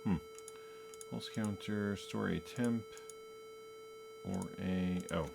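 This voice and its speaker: A man programming a game, thinking aloud while he codes, muttering to himself in halting, self-correcting bits. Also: sits low, gravelly, background noise.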